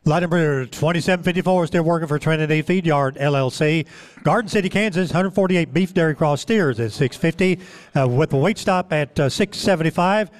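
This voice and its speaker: A man's voice: on the high side, brisk. A man reading out lot details at a cattle auction in a plain, informational way. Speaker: lot announcer.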